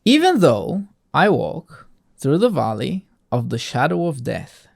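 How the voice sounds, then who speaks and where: A man's voice: on the high side, big pitch swings, measured pace, creaky. A man talking casually with a young child about a Bible passage, explaining simply and often asking check-in questions. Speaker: host, parent teaching a child a Bible passage.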